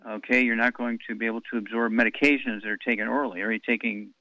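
A man's voice: mid-range voice, fairly even intonation, quick pace, dry recording, muffled recording. A man hosting a call-in health show on the radio, blunt and wisecracking, rattling off long lists of advice.

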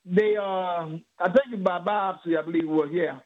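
Hesitant older man on a tinny phone line. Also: high-pitched. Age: 70s. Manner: hesitant, halting with many stammered restarts. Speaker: caller. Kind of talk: phone call to a radio health show.

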